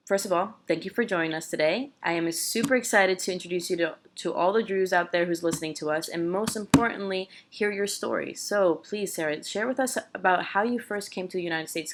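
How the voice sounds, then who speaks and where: A woman hosting a casual interview, eager and encouraging, often restarting her words. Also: on the low side. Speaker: podcast host.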